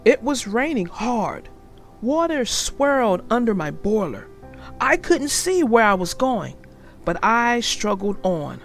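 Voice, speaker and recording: A woman voicing a cheeky, boastful young male tank engine in a read-aloud storybook, speaking loudly. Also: on the high side, sing-song, slow pace, faint music behind, exaggerated voice.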